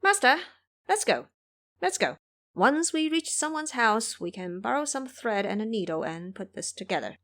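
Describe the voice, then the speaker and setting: A woman's voice: fairly high pitch, very animated, moderate pace, a bit bright. A woman voicing a brash, boastful male monkey king with a commanding, exuberant manner in an audio drama. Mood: brisk, eager. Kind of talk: audio drama character acting.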